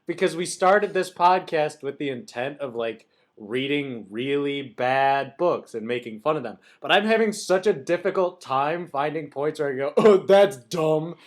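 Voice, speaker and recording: Low voiced woman podcast cohost, super casual, playful back and forth banter. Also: lively intonation, measured pace, hardly any pauses. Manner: playful, informal, reactive. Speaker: podcast co-host.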